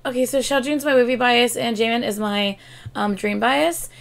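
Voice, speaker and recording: A woman in her thirties, hoarse from losing her voice, chatting excitedly in a casual reaction vlog at her desk at home. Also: fairly high pitch, moderate pace. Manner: excitable, chatty, gushing with laughs and exclamations. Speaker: K-pop reaction YouTuber. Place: home room with shelves of albums and collectibles, indoors. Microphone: webcam framing at desk.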